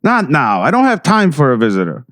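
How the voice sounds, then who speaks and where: A man's voice: mid-range voice, wildly swooping pitch, brisk, a bit bright, no room sound. A man playing a self-important, scheming small-town mayor in an audio drama, with a pompous, ingratiating way of talking. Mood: irritated.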